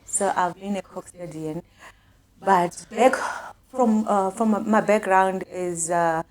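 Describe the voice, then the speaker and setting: A woman's voice: mid-range voice, takes it slowly. A middle-aged South African woman, a guest house owner and coach, telling her life story in an interview with earnest, emphatic bursts. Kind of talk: conversational interview.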